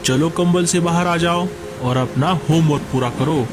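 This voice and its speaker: A man's voice: on the high side, normal speed, music in the background, lightly acted. A man voicing a caring, earnest crow father and provider in a Hindi cartoon. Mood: firm. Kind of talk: Hindi animated moral-story voice acting.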